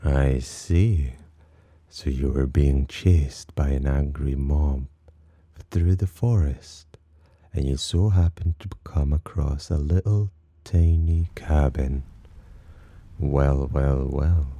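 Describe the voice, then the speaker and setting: A man playing a teasing, possessive demon in an ASMR roleplay, with a breathy, husky voice and a smug, theatrical drawl. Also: rumbling low, takes it slowly, mellow, no room sound, exaggerated voice. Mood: smug, curious. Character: teasing, possessive male demon sheltering a human. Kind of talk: ASMR roleplay, slow intimate character acting.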